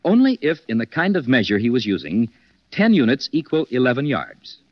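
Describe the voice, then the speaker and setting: An actor playing a confident, polished gentleman detective who hosts a 1940s radio mystery. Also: mid-range voice, very animated, no room sound. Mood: confident deduction. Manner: polished, deliberate. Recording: dull vintage 1940s radio recording.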